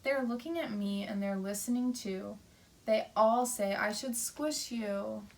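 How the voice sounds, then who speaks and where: A woman's voice: mid-range voice, measured pace, gravelly, distant mic. A woman voicing a boastful, dismissive young boy in a rhyming storybook, with a taunting sing-song delivery. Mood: defiant.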